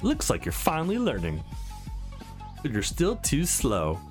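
A man's voice: mid-range voice, wildly swooping pitch, unhurried, faint music behind. A man voicing a brash young female sparring partner in a visual novel, blunt and goading. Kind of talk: visual novel dialogue read aloud.

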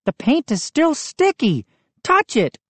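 A woman voicing an excitable boy who is the narrator's friend, loud and agitated. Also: high voice, slow pace, no echo, pitched above the performer's own voice, exaggerated voice.

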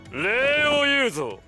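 Arrogant godlike vampire villain, very high-pitched, wildly swooping, grandiose taunts, video game acting. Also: male voice, full character voice. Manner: grandiose, drawn-out taunting with bursts of shouting.

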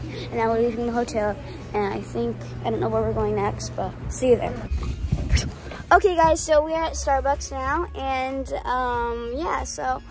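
A woman's voice: a little high, noisy background. A woman filming a casual travel vlog on a handheld phone, chatting to viewers with lots of fillers. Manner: chatty, with frequent fillers, addressing viewers as "guys". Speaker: vlogger. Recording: music playing over the voice. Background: background music.

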